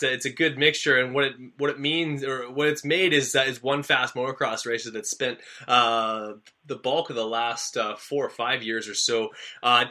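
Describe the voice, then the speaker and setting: A Canadian man hosting a motocross podcast and interviewing a guest, energetic but full of uh fillers and restarts. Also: medium pitch. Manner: energetic, hesitant, many uh fillers. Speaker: podcast host.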